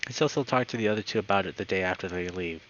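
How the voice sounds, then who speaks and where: A man's voice: sits low, racing, highs cut off. A man speaking as a live streamer, moving between friendly chat and reading a story aloud. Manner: upbeat, friendly, excitable when greeting viewers.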